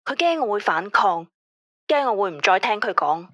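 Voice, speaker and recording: A woman plays an obsessive late-night caller in a Cantonese radio drama, her Hong Kong accent quiet and unsettlingly matter-of-fact. The voice sits mid-height and is recorded dry and close, with no room around it. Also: mid-range voice, very animated, moderate pace, no room sound, highs cut off. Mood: uneasy. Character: anxious, obsessive female late-night caller, eerily calm.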